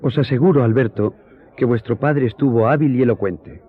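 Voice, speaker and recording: An actor in a radio drama playing an earnest friend who recounts a hearing in formal, measured storytelling. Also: male voice, medium pitch, natural voice. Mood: grave, earnest. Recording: tinny, narrow-band audio.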